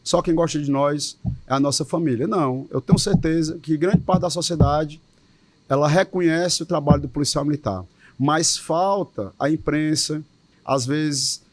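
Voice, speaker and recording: Um homem com sotaque cearense, presidente de uma associação de policiais, dá uma entrevista com tom sério e enfático e repete palavras às vezes. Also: male voice, fairly high pitch, normal speed. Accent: Cearense Portuguese. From Ceará, Brazil. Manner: earnest, emphatic, with repeated words. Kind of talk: interview answers.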